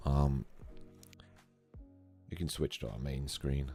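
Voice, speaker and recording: A man with an extremely low voice, slightly hoarse from a cold, talks through his work in an easy, rambling way. He fills pauses with ums and uhs as he goes. Faint music plays behind him as he speaks into a desk mic. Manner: relaxed, thinking aloud with many fillers. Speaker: 3D artist streaming a modeling session. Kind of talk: casual live tutorial. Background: faint background music.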